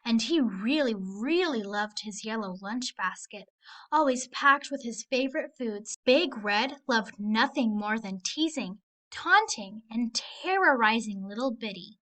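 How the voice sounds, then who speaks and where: A woman narrating a children's story aloud in an expressive read-aloud style. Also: fairly high pitch, unhurried. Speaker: storybook reader.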